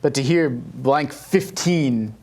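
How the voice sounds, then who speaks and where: A man's voice: medium pitch, slow pace, gravelly, darkish tone. Male podcast panelist, mid-range voice, conversational and musing, given to rhetorical questions. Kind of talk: casual panel discussion about video games.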